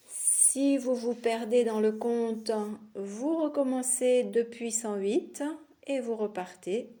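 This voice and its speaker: A woman teaching yoga nidra, guiding a meditation in a soothing, unhurried manner with long pauses between short phrases. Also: on the high side, mellow. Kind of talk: guided relaxation meditation.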